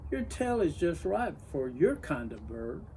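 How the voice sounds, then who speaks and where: A man's voice: fairly high pitch, big pitch swings, measured pace, warm tone, a little hiss or hum. A man voicing a dismissive, fussy male bird doctor in a storybook, tutting and reproving. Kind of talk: storybook character voice.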